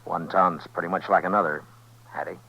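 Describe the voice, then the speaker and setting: A man playing a steady, courteous, laconic lawman in a 1950s radio Western. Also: deep voice, a little fast, gravelly, breathy edge, heavily acted. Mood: reserved. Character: steady, courteous, laconic US Marshal in the Old West. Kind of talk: 1950s radio Western acting and first-person narration. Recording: muffled 1950s radio recording.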